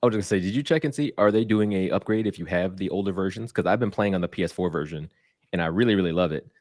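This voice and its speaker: A man with a lowish voice chats quickly on a gaming podcast. He sounds eager and informal, and the sound is close and dry. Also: a little mellow, no echo. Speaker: podcast co-host. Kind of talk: casual gaming podcast chat.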